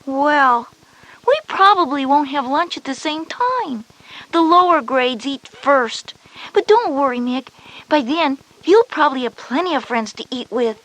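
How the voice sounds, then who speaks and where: A woman's voice: high voice, expressive, unhurried, a bit bright, low-quality recording. Chatty schoolgirl big sister played by a woman. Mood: reassuring, knowing. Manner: knowing, reassuring, chatty. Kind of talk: scripted children's educational audio drama.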